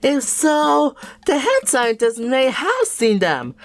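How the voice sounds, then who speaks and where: A woman voicing a goofy, eager young male alligator secret agent in a cartoon fandub, with a drawn-out singsong delivery. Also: high-pitched, huge pitch swings, heavily acted.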